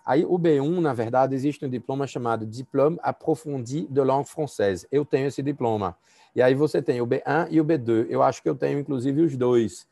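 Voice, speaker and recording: A man's voice: medium pitch, a little fast, vocal fry, warm tone, no room sound, studio quality. A Brazilian man, a law professor, giving a conversational university lecture and feedback over a video call, with frequent fillers and restarts.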